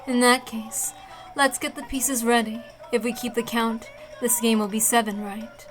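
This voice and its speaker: A woman's voice: on the high side, level tone, a little slow, low-quality recording. A woman reading visual novel text aloud expressively as a streamer, with casual asides in between. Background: game soundtrack music.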